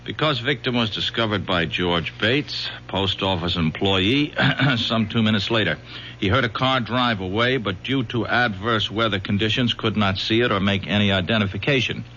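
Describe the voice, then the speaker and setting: An earnest, college-educated young detective, doggedly suspicious and a little halting. His voice is lowish and bright. It comes through a dull, lo-fi old radio broadcast. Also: slight background noise. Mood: matter-of-fact. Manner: doggedly suspicious, hesitant with fillers. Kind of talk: 1970s radio drama acting.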